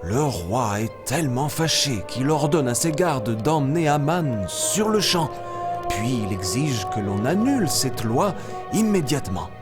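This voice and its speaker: Animated, kindly cartoon storyteller. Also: male voice, mid-range voice, unhurried. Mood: storytelling. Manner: expressive, teacherly. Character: Kingsley, kindly jungle animal storyteller for children. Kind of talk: children's story narration with acted dialogue. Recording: loud music behind.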